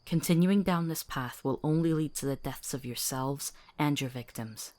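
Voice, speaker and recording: A woman's voice: low voice, normal speed, darkish tone, natural voice. A woman playing a principled, capable healer in a fantasy drama, articulate, authoritative and dryly witty. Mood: firm. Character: firm, principled female healer, capable and wry. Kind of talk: fantasy audio drama acting.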